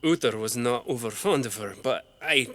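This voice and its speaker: An actor playing a discreet veteran soldier in a fantasy audio drama, careful, formal and hesitant. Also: male voice, mid-range voice, lively intonation, moderate pace, fairly bright tone, lightly acted. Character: discreet veteran soldier recounting his past, reserved. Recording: background noise with ambience.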